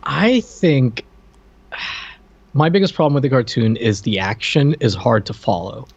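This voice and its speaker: A slightly muffled, lo-fi recording of a man co-hosting a podcast. He gives his opinions informally, halting and thinking aloud, in a medium-pitched voice. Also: sing-song, slightly rough, no room sound. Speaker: podcast co-host. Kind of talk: casual opinionated chat. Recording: dull, band-limited lo-fi voice track.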